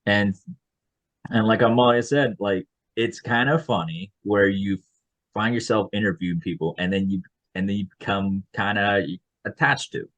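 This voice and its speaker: A man's voice: lowish voice, moderate pace, a bit bright. A man from the United States, a graduate student researcher, talking casually on a video call with many restarts and little chuckles. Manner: rambling, frequent restarts, chuckles. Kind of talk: casual panel chat.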